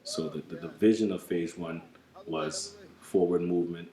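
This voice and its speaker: A man from the US speaking in a documentary interview as a program adviser, measured and earnest, often saying "you know". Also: low-pitched, mellow, echoey room. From United States.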